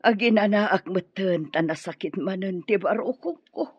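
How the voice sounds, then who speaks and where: A woman playing an ailing, talkative older mother in a radio drama, with an Ilocano Filipino accent and a husky voice. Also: mid-range voice, normal speed, no room sound, natural voice. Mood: weary, worried. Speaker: voice actress. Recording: dull lo-fi radio drama audio.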